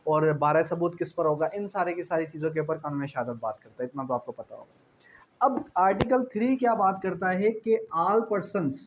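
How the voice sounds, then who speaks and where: A man's voice: fairly high pitch, moderate pace. A man with a Pakistani accent giving an explanatory law lecture, repeating key phrases and answering his own questions in a didactic way. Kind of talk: explanatory exam-prep lecture, switching between reading legal clauses and explaining. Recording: dull lo-fi audio with faint noise.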